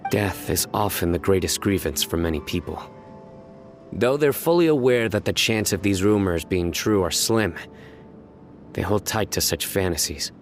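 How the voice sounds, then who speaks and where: A solemn male investigator in a video game. He speaks in a low voice, measured and formal, with a grave authority. Music is clearly heard underneath. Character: stern, solemn young male investigator of few frills.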